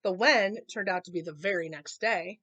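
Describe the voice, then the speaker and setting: A woman reading a picture book aloud to children, expressive, with casual explanatory asides. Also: lowish voice, moderate pace. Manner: expressive, explaining to young listeners.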